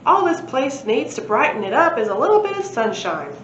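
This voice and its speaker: A woman's voice: very animated, fairly quick. A woman voicing a proud, cheerful male stegosaurus professor in a children's storybook, emphatic and confident. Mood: happy. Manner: booming, emphatic, brightly confident. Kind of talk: children's storybook read-aloud. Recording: roomy, muffled, faint background noise.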